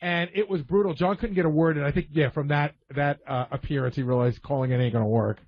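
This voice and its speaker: A man with a fairly high-pitched voice talks casually on a radio show. He tells stories in a conversational way, and the audio is somewhat dull and muffled. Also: fast, no room sound. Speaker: radio show staff member. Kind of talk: casual radio talk, storytelling.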